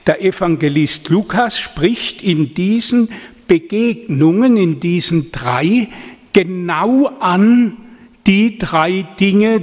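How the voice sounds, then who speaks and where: A middle-aged man preaching a sermon in an earnest, rambling way, often adding "ja?" as he goes. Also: high-pitched. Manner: earnest, digressive, with frequent "ja?" asides. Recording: long hall reverb.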